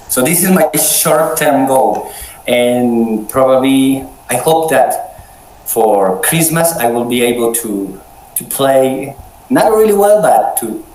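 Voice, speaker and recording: Man with a cold, halting casual host, slow delivery, roomy sound. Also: medium pitch, darkish tone, a little hiss or hum. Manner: hesitant, many restarts. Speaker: meeting host. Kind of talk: casual online chat. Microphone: video call.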